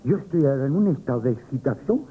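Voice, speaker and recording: A man's voice: medium pitch, lively intonation, fast talker, phone-quality sound, lightly acted. An actor playing a humble, naive shop boy who earnestly vows loyalty, in a 1970s radio play. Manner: earnest, rambling, comic. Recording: old radio broadcast with faint hiss.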